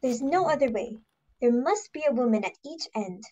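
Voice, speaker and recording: A woman's voice: on the high side, lively intonation, moderate pace, mellow, slight background noise, close to an everyday voice. A woman playing an anxious, bungling young society daughter in an amateur reading of a stage comedy, exclamatory and apologetic. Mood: practical, urgent.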